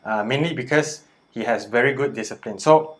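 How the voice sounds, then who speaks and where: Polite, measured male interviewer. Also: medium pitch. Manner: polite and measured, with hesitant "um" starts. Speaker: interviewer, host. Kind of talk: interview questions.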